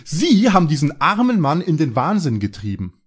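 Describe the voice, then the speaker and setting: A man playing an anxious teenage boy detective in a parody audio drama, with a strained husky voice. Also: fairly high pitch, wildly swooping pitch, normal speed, heavily acted. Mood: earnest, skeptical.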